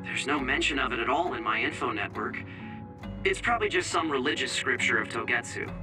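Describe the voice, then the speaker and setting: A man's voice: medium pitch, lively intonation, a little fast, over music, close to an everyday voice. A man playing a pragmatic, level-headed young soldier in a video game. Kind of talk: scripted video game voice acting.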